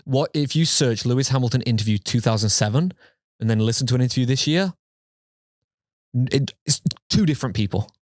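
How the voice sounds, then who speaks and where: A man's voice: mid-range voice, fairly quick, a little mellow, dry recording, studio quality. A young man from England co-hosting a casual podcast, with a mixed English accent drifting toward Australian, rambling, swearing freely and mocking himself. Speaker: podcast co-host. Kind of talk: casual chat.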